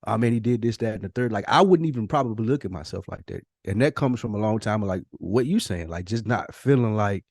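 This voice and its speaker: A man's voice: lowish voice, lively intonation, fast talker, hardly any pauses, darkish tone, no room sound, clean studio sound. A man talking casually and candidly as a podcast co-host, reflective and confessional, with slangy, profane, emphatic phrasing. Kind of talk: casual, candid personal conversation.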